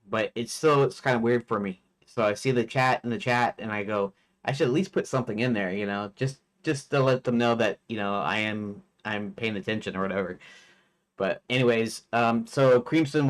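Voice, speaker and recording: A man in his forties, a game developer chatting casually on a live stream, rambling with many fillers and restarts. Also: mid-range voice. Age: 40s.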